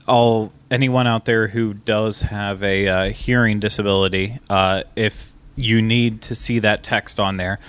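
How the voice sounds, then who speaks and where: A man's voice: lowish voice, fairly even intonation, a little slow, few pauses, fairly bright tone, smooth. A man co-hosting a podcast, talking frankly and conversationally with many filler uhs. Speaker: podcast co-host. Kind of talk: casual podcast chat.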